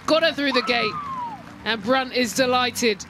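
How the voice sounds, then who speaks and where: A woman's voice: high voice, huge pitch swings, a little slow. A woman giving live cricket commentary. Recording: loud stadium noise behind.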